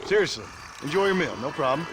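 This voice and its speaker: An actor playing a world-weary frontier gunslinger, dry and courtly, with an American accent in a western video game. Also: male voice, on the high side, very animated, fast, over a noisy crowd, very noisy. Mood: mocking, wary.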